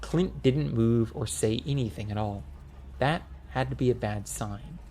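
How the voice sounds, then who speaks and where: A man narrating a comic fantasy audiobook in an expressive storytelling style. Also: medium pitch, unhurried.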